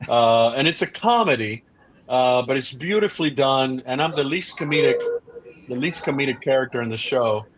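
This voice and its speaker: A middle-aged man speaks as a podcast guest in an earnest, animated way. He restarts often and leans on fillers as he explains his views. His voice sits at an ordinary middle pitch. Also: normal speed, background noise. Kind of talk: casual interview chat.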